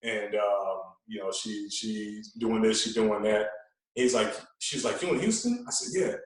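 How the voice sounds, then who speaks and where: A man telling stories in casual conversation as a podcast guest, animated and often repeating words as he goes. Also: on the low side, unhurried. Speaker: podcast guest, veteran radio host. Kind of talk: casual chat, storytelling. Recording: echoey room, distant from mic.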